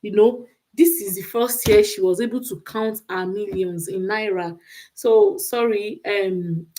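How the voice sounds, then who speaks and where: A woman's voice: mid-range voice, fairly even intonation, unhurried, warm tone, low-quality recording. A woman with a Nigerian accent talking off the cuff on a livestream, emphatic and repeating phrases for stress. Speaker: livestream host giving relationship advice. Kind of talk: unscripted livestream monologue.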